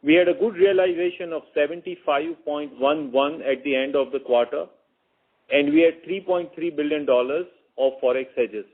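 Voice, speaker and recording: The sound is a narrow phone conference line. A man with a moderately high voice gives a formal financial briefing as a finance chief, sometimes doubling back on a word. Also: moderate pace, breathy edge.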